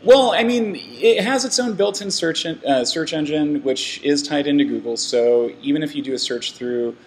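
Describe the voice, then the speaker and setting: Gravelly man giving a rambling tech talk. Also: a little high, moderate pace, rough recording. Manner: loose, rambling, many fillers and restarts. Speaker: meetup speaker. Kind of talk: casual conference talk. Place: meeting room with an audience.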